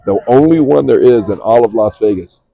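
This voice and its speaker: A man's voice: medium pitch, expressive, brisk, gravelly, mellow, no echo, lo-fi sound. A man commentating on a pool match in a casual, jokey way, swearing and laughing often. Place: pool hall.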